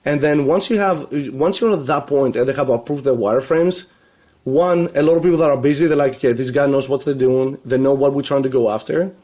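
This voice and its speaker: A man originally from Greece talks on a video call from a room at home, his voice sitting at an ordinary middle pitch. He rambles in loose runs, restarting and filling gaps with "you know" and an easy laugh. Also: fairly quick, dark tone. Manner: rambling, many restarts and fillers, laughs easily. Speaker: guest, web agency founder. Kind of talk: casual video call interview. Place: home room full of toy shelves.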